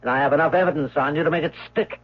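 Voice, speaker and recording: A man's voice: a little high, racing, husky. An actor playing a scheming, domineering male guardian with a clipped, imperious manner, in 1970s radio drama style. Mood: cold, menacing. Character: scheming, domineering male guardian, coldly threatening, self-serving. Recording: muffled old radio broadcast.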